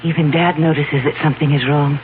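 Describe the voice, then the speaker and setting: An actress playing a devoted, anxious fiancée in a 1970s radio drama, tender and earnest in a theatrical way. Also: female voice, sits low, steady tone, fast talker, close to an everyday voice. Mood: worried, probing. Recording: narrow-band vintage radio broadcast, faint hiss.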